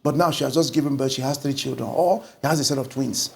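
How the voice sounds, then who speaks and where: A middle-aged Nigerian man, a pastor talking through a book in an animated conversational way, recorded on a lapel mic in an indoor studio. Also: mid-range voice, quick pace, creaky. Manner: animated storytelling with lively gestures. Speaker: pastor and guest.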